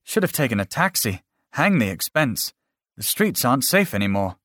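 A man's voice: mid-range voice, big pitch swings, normal speed, fairly bright tone, no room sound, clean studio sound, pitched above the performer's own voice. A man voicing a nervous young woman who stammers and hesitates, in an audiobook. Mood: shaken. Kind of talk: audiobook character voice.

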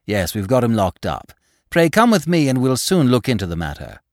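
A man playing a conman posing as a grey-bearded detective inspector in a storybook, brisk, talkative and grumbling. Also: mid-range voice, wildly swooping pitch, a little mellow, dry recording, clean studio sound. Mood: brisk, confidential.

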